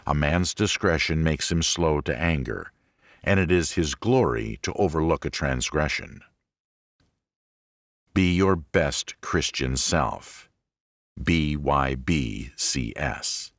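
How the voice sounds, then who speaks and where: A man reading a scripted audiobook in a measured, deliberate, instructive way. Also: very deep voice, a bit bright, no echo, studio quality. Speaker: audiobook narrator. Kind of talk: scripted audiobook narration of religious self-help.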